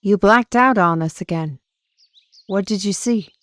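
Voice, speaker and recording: A woman's voice: medium pitch, measured pace, no room sound, natural voice. Woman playing a salty, spirited adventurer, dry sound. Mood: wry. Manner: colorful folksy oaths, addresses others as lad and lass. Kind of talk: audio roleplay drama acting.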